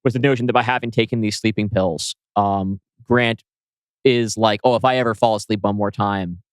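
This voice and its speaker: A man's voice: fairly low pitch, steady tone, normal speed, clean tone, dry recording. A man co-hosting a podcast, explaining his ideas tentatively in a casual workshop discussion. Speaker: podcast co-host and scriptwriter.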